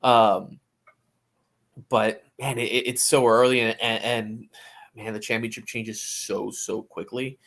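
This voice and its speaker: A man co-hosting a sports podcast in casual chat, talking haltingly with repeated words and false starts. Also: fairly low pitch, little pitch movement, a bit bright.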